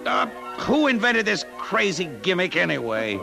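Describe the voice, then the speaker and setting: A man's voice: high-pitched, very animated, moderate pace, creaky, over music, exaggerated voice. An American actor playing an earnest advertising man turned rebel in a 1950s radio drama. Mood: urgent, earnest.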